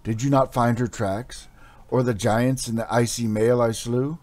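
A man's voice: mid-range voice, steady tone, unhurried, darkish tone, voice pushed up, natural voice. Half-frozen delirious sword hero in a storybook reading, a man voicing him, raised strained delivery. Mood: dazed. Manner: hazy, strained, intense. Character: dazed wandering barbarian hero, adult man.

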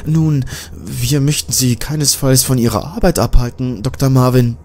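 A man's voice: mid-range voice, fairly even intonation, moderate pace, a little mellow, voice pushed up, natural voice. A man voicing a young female journalist who is nervous and easily startled. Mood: polite. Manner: nervous, exclamatory.

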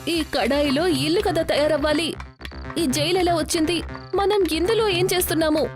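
A woman's voice: very high voice, normal speed, faint music behind. A woman voicing a greedy, scheming landlady and shopkeeper in a moral story, with a demanding, indignant manner. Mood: alarmed.

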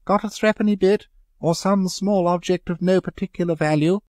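A man's voice: sits high, fairly even intonation, moderate pace, a little mellow, dry recording, clean studio sound, pitched above the performer's own voice, close to an everyday voice. A man doing a solemn, self-important young mystic. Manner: solemn, then faltering.

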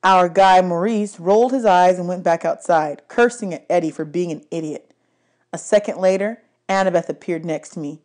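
A woman's voice: on the low side, moderate pace, a little mellow. A woman narrating a novel aloud as an audiobook reader. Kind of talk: read-aloud story narration.

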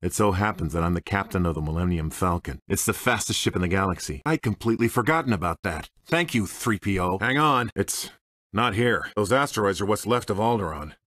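A man's voice: low-pitched, animated, fairly quick, a bit bright, no echo. An American man playing a cocky, wisecracking space smuggler and pilot in a video game, with a dry, sardonic, swaggering manner. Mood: wry, focused. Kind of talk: video game character voice clips. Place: dry studio recording.

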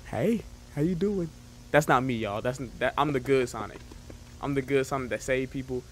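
A young adult man giving casual, excitable reaction commentary in a jumpy, slangy, joking and rambling way, close on the microphone. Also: mid-range voice, moderate pace, a little hiss or hum. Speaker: reaction video host. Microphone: close microphone at a desk. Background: music from the watched videos.

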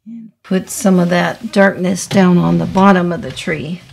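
A slow-talking woman with a very creaky, slightly low voice guides a painting lesson. She is middle-aged and talks haltingly, thinking aloud as she works through each step. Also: fairly even intonation, darkish tone, slight background noise. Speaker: watercolor teacher. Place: indoors, small studio room at a table.

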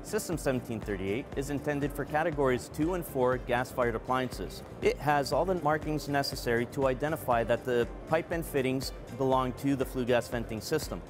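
A man in his forties, a product manager, giving a measured, earnest scripted product presentation at a trade show booth on a lapel mic. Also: mid-range voice. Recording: clean voice over background music.

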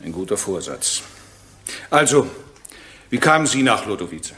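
An actor playing a stern, impatient presiding judge in a radio courtroom drama, formal and probing. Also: male voice, lowish voice, very animated, moderate pace, a bit bright. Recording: echoey courtroom-like room.

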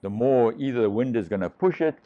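A man's voice: mid-range voice, animated, brisk, narrow, tinny audio. A middle-aged man, a shark diving pioneer, telling long rambling stories over drinks on a living room sofa, full of restarts. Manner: rambling, restarts, swears freely. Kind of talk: casual storytelling over drinks.

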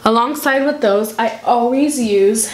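A young woman vlogging to camera at home, hoarse from a cold, chatty and rambling with lots of ums and restarts. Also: a little high, measured pace, slight background noise. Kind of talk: casual chat to camera. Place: small room at home, indoors. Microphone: camera in front at desk distance.

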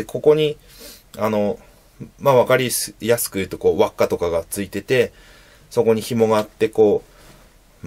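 Thirties male host, low voice with a lively swing, casual and patient explainer, lots of filler pauses, small room and camera mic. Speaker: sword channel host. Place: small indoor room at home.

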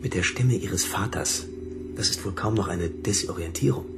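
An actor playing an irritable, suspicious husband in a radio drama, answering curtly and defensively. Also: male voice, low voice, normal speed, music in the background. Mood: wary, defensive. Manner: curt, indignant, suspicious. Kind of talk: German radio drama acting.

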